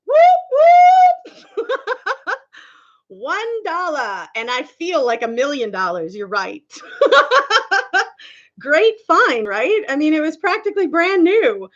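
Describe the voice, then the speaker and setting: A giggly Canadian woman around forty hosts a casual livestream. Her voice is high and swings up and down a lot, and she talks in an unhurried, affectionate, chatty way. The audio is a little dull and lo-fi. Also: sing-song, fairly bright tone. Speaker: livestream host. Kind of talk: casual livestream chat with viewers.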